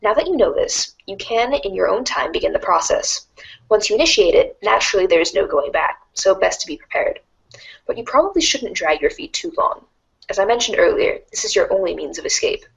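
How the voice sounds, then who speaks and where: A woman's voice: mid-range voice, very animated, a little fast, gravelly, slightly rough, exaggerated voice, poor audio. A woman playing a wry, erudite teenage girl who reads out a game guide in a formal, precise, dryly instructive way, as storybook narration. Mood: measured, instructive. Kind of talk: scripted read-along storybook narration.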